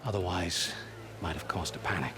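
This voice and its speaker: A man playing a grave, formal spymaster of a sun kingdom in a video game, measured and courtly. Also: low-pitched, lightly acted. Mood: grave, wry. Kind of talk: dramatic video game acting. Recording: noisy ambience behind the voice.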